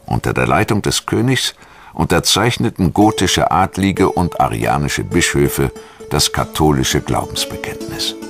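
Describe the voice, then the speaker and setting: A man reading scripted documentary narration as the narrator. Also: extremely low pitch. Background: background music.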